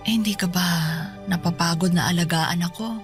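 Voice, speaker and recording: A woman playing an affectionate, gently chiding older mother who is unwell, in a radio drama. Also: on the low side, brisk, natural voice. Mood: wistful. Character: sickly older mother, affectionate and teasing, fretting over her grown son. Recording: music under the voice.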